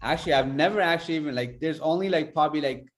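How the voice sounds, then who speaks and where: A man from Afghanistan hosting a podcast, telling a personal story in an earnest, halting way. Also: fairly high pitch. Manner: earnest, halting, many fillers. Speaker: podcast host.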